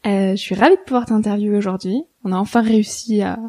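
A woman's voice: medium pitch, fairly even intonation, fast talker, a little mellow. A woman hosting an interview podcast, moving between scripted introduction and casual questions.

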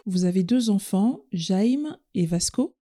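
A woman interviewer with a mellow, warm-toned voice asks her questions in a podcast conversation. She listens closely and sums up what her guest has said before moving on. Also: mid-range voice, animated, slow, faint music behind. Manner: attentive, recaps what the guest said before asking.

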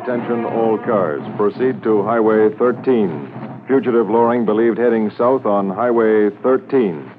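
An actor playing an urgent, official police dispatcher making clipped announcements in a 1950s radio drama. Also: male voice, on the low side, unhurried, over music, close to an everyday voice. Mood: urgent, commanding. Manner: emphatic, clipped, repetitive announcing. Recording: narrow noisy 1950s radio recording.

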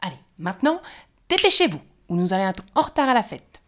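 A woman voicing an excitable, jolly male forest gnome who loudly hurries the others along. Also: normal speed, slightly rough. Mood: impatient, excited. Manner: shrill, loud, urgent, hurrying others.

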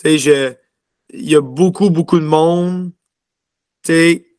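Young Quebec man with a higher-pitched voice. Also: steady tone, unhurried, darkish tone, clear, resonant tone, dry recording, studio quality. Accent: Quebec French. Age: young adult. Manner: rambling, peppered with filler words and English words. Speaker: interview guest, junior hockey player. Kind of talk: casual interview chat.

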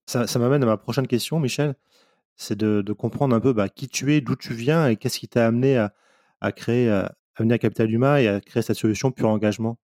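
A man's voice: mid-range voice, fairly quick, darkish tone. A man hosting a podcast, asking casual interview questions.

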